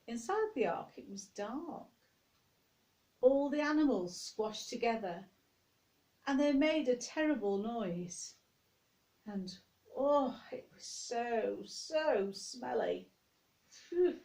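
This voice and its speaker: Slow, higher-voiced woman telling a children's story. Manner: expressive, addressing young listeners directly. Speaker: minister reading a story to young children. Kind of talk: children's picture-book storytelling with chatty asides.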